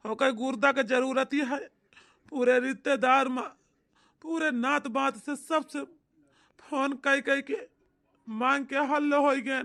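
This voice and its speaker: Man voicing cartoon village husband, very high pitch, Awadhi accent, anxious, plaintive, pleading. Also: vocal fry, sharp tone, heavily acted. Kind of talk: amateur comedy cartoon dialogue in rural dialect.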